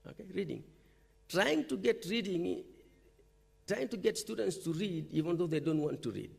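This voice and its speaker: High, gravelly-voiced man giving a conference talk. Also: expressive, moderate pace, darkish tone. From Fiji. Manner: conversational, frequent "okay?" check-ins. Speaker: university lecturer presenting at a teaching forum. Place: lecture hall or forum room.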